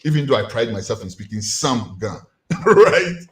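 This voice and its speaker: A man's voice: medium pitch, moderate pace. A Ghanaian man giving a community lecture, asking rhetorical questions to his listeners. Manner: animated, rhetorical questions to the audience.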